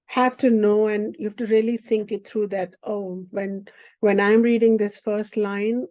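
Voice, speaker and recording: A woman whose first language is Hindi, teaching a spiritual class in an unhurried way and often checking in with the listener. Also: mid-range voice, dark tone, no room sound. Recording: dull lo-fi recording.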